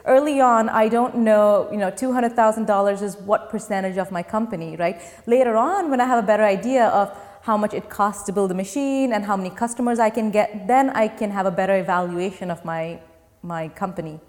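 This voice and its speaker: A woman's voice: mid-range voice, brisk, a little mellow. Young woman founder in a reverberant space. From Dubai. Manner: earnest, explains step by step. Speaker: startup founder. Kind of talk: interview answers. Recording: long reverb.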